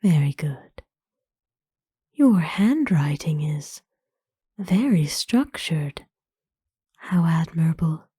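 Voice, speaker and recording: A woman playing a kind, courtly, centuries-old vampire in an ASMR roleplay, speaking tenderly and formally in a breathy whisper. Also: deep voice, animated, mellow, no echo, clean studio sound, full character voice. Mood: warm, gentle. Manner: formal, slow, tender, hesitant pauses. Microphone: close dry microphone.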